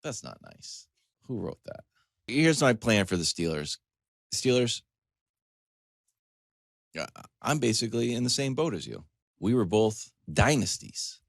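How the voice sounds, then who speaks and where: A man's voice: lowish voice, sing-song, a little slow, gravelly, pauses often, no room sound, clean studio sound. A man hosting a sports talk show, delivering a comedic, conversational monologue with emphatic lists, joking asides and acted-out questions.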